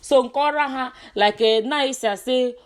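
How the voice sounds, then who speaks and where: A woman's voice: sits high, moderate pace. A woman chatting casually on a call, switching between two languages. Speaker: daughter interviewing her mother by phone. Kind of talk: casual phone chat mixing in English.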